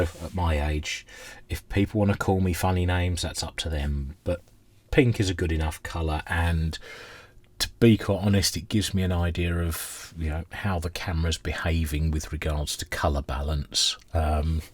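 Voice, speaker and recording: Husky, very low-voiced man, rambling casually. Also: a little slow. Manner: rambling, chatty, self-deprecating. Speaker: hobbyist presenter restoring vintage radios. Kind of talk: casual unscripted workbench demonstration. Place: indoor workbench.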